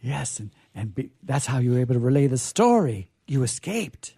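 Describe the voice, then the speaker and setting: Mellow male host, chatty and rambling. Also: medium pitch, big pitch swings, husky, dry recording, clean studio sound. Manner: rambling, halting, jokey asides. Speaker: host and reader of a radio players' show. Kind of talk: chatty, off-the-cuff introduction.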